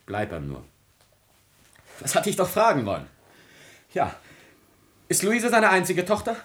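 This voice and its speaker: A man's voice: high voice, huge pitch swings, a little slow, full character voice. An actor playing an anguished, jealous young nobleman in a declamatory classical tragedy for radio, moving between whispers and outcries. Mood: forced calm, feverish.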